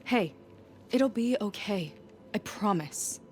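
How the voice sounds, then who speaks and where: A woman's voice: medium pitch, animated, takes it slowly. A woman playing a caring, concerned young companion in a naturalistic video game cutscene. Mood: gentle. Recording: music clearly behind the voice.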